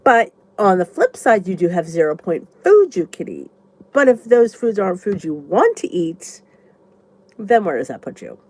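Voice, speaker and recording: Congested woman with a lively, animated voice. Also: mid-range voice, moderate pace. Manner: chatty and rambling, thinks aloud. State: congested, stuffy nose. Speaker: vlogger. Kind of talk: casual live chat answering viewer comments.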